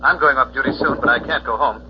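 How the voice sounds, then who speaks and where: An actor playing a nervous, guilty hotel clerk in a 1940s radio crime drama, with a flustered, hesitant delivery. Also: male voice, medium pitch, fast talker, lightly acted. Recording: muffled, hissy 1940s radio recording.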